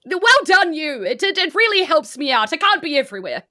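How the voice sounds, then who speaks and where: Woman putting on a high-voiced jolly bearded old man. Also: very animated, a little fast, pushed and bright, no room sound, studio quality, full character voice. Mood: pleased, praising. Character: big silver-bearded man in red, jolly festive elder.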